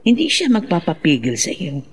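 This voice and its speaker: An actor playing a grave, knowing older mother, solemn and foreboding, with a gravelly, husky voice in a radio drama. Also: fairly low pitch, huge pitch swings, moderate pace, exaggerated voice.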